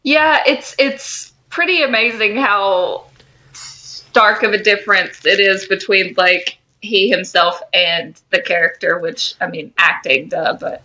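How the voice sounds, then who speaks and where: A woman's voice: medium pitch, takes it slowly, bright, forceful tone, low-quality recording. A woman co-hosting a podcast, talking in an informal, slangy way about pop culture. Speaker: podcast co-host. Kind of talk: casual pop-culture chat.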